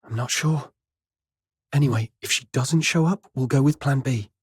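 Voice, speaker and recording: An actor playing a composed, determined young hero in a video game, speaking in a calm, understated way. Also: male voice, medium pitch, normal speed, husky, no room sound, clean studio sound. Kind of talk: video game cutscene voice acting.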